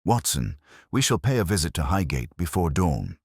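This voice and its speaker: A man narrating puts on a commanding, austere detective for a mystery audiobook. The voice is extremely deep and dry, with clipped, deliberate pronouncements that rise and fall freely. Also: very animated, slightly rough, dry recording, full character voice. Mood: grave, commanding.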